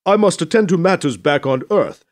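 A man's voice: fairly high pitch, animated, normal speed, voice pushed up, lightly acted. Man acting a dignified, formal church leader. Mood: gracious, chiding. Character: dignified, gracious male church leader, gently chiding.

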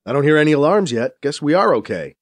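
A man's voice: a little high, sing-song, fast talker, no echo. A man voicing a wary male fugitive who hesitates and stammers, in an audiobook. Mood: uneasy.

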